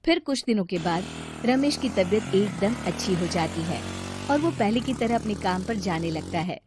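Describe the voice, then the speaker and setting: A woman's voice: medium pitch, expressive, moderate pace, background noise. A woman narrating a scripted moral story for a cartoon.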